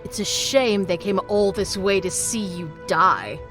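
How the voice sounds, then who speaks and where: A woman's voice: medium pitch, unhurried, a bit bright, faint music behind, close to an everyday voice. A woman playing a menacing villain in an audio drama, taunting with mock sweetness and condescension. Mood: cold menace. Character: mocking, menacing villain taunting a young magician.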